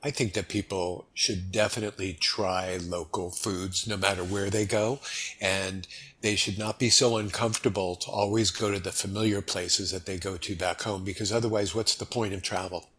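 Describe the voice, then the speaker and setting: A man's voice: low-pitched, normal speed, slightly rough. A middle-aged American man chatting easily as a guest in a casual interview. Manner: easygoing, relaxed, chatty. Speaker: podcast guest.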